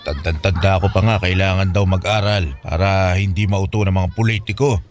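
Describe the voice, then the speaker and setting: A man's voice: low-pitched, steady tone, moderate pace, natural voice. Man acting a fatherly older Filipino guardian. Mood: earnest, admonishing. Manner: fatherly, admonishing, colloquial. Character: kindly, preachy older bachelor slum-dweller, fatherly guardian. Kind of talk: Filipino radio drama acting.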